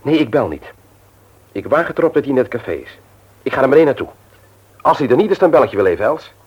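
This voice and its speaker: Firm elder brother, swooping intonation. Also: male voice, medium pitch, big pitch swings, fast, slightly rough, dull audio. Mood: decisive. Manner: insistent, probing, authoritative. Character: firm, persistent older brother investigating a planned burglary. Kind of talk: Dutch radio drama acting.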